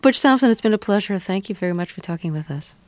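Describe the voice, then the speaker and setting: A radio interview host asks careful, probing questions at a middle pitch. The delivery is thoughtful, with small restarts. The sound is dry and close. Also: expressive, fast, no room sound.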